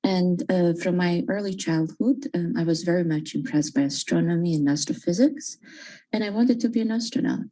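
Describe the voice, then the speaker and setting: A woman professor from Croatia giving a measured presentation, with frequent fillers. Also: fairly low pitch, dark tone. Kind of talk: presentation talk.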